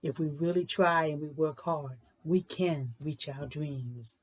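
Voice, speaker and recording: A woman host reading aloud for children with expressive, emphatic phrasing. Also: low-pitched, unhurried, breathy edge. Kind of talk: expressive picture book read-aloud in rhyming verse.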